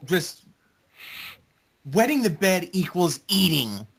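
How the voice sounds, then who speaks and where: A man whose voice sits a little high. He talks in a casual, conversational way, as one of a group of friends discussing games. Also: big pitch swings, slow, vocal fry, background noise. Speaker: member of a gaming group. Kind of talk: casual gaming chat.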